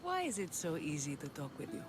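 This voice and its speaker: A woman playing a brave young rebel leader and huntress in a video game, haunted yet tender, speaking in an earnest, measured, dramatic way. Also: low voice, huge pitch swings, noisy background. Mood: tender, intimate. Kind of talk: cinematic video game acting. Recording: cinematic music behind the voice. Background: music.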